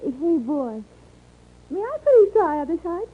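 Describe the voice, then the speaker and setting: An actress playing a meek, deferential young Chinese hotel maid in simple English with a Chinese accent, in 1950s radio drama style. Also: female voice, very high voice, big pitch swings, slow pace, full character voice. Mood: admiring, shy. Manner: demure, simple broken English. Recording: tinny vintage radio recording.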